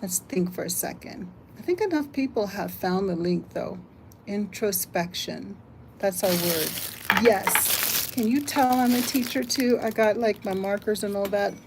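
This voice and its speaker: An older woman from the United States hosting a casual live stream, chatting, reading comments aloud and thinking out loud. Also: medium pitch, a little slow, vocal fry, noisy background. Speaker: livestream host.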